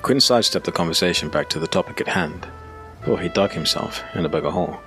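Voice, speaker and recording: A man reading scripted dark fantasy narration in a measured, dramatic, gravelly voice. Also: low voice, soft background music. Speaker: audiobook narrator.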